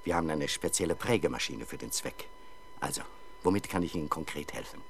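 A man's voice: low-pitched, very animated, a little fast, a little hiss or hum. A man acting as a courteous, fussy and precise camera importer in a 1970s German crime radio play. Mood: proud, helpful. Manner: polite, eager, meticulous.

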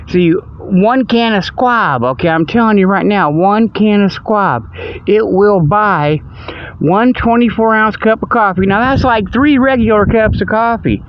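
A man hosting a comic radio-style show, rambling in a folksy, ungrammatical way through mock sponsor reads and casual monologue. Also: high-pitched. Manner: folksy, rambling, ungrammatical, peppered with "okay" and "you know". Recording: dull lo-fi audio with background noise.

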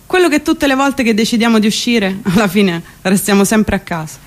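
A woman's voice: medium pitch, brisk. A woman performing a comic theatrical monologue, colloquial, wry and emphatic. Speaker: stage actress and monologist.

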